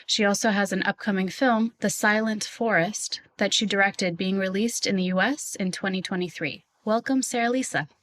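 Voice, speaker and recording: A woman's voice: medium pitch, moderate pace, hardly any pauses, fairly bright tone. A woman hosting a podcast interview in a conversational style.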